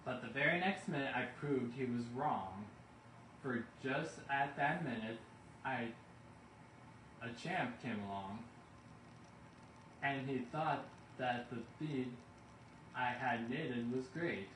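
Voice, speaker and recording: Male storybook narrator: slow, deliberate, expressive verse reading, distant mic with faint noise. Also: mid-range voice, echoey room. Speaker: bedtime story reader.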